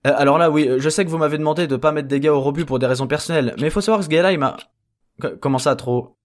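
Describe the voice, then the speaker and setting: A man's voice: a little high, rapid-fire, lightly acted. A man playing a flustered, sarcastic henchman clone, rambling and exasperated in a comedic audio drama. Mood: flustered, defensive.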